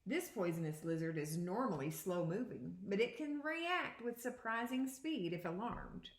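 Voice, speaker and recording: A woman reading a children's picture book aloud as a second-grade teacher, with deliberate, explanatory phrasing. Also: mid-range voice, lively intonation. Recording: echoey room.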